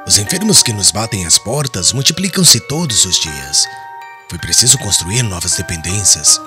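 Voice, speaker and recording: A man's voice: lowish voice, sing-song, moderate pace. A man playing a kindly, humble apostle and church leader in a religious audiobook, explaining things generously. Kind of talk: dramatized religious audiobook dialogue. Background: chiming music.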